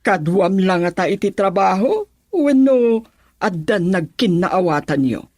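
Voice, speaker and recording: Stern mother, middle-aged, class-conscious, scolding, medium pitch, radio drama acting. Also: sing-song, measured pace, darkish tone, no echo, studio quality. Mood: indignant, scolding. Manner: scolding, indignant.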